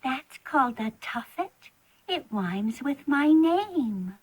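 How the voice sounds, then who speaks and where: A woman's voice: high voice, sing-song, takes it slowly, husky, heavily acted. A woman playing a timid, imaginative little girl in a children's storybook play, earnest and pleading in a childlike way. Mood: earnest, playful.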